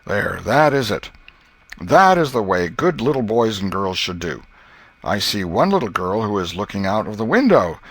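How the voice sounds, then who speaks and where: A man's voice: on the low side, big pitch swings, normal speed, fairly bright tone, husky, heavily acted. A man voicing a pompous male Sunday-school superintendent, speaking in a sugary, condescending way to children in a storybook reading. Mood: sugary, preachy.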